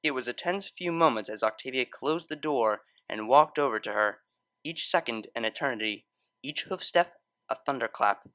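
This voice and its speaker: A man's voice: mid-range voice, expressive, normal speed, bright, forceful tone, no echo. A man narrating a scripted story in a dramatic, literary style. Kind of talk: expressive scripted fan fiction narration.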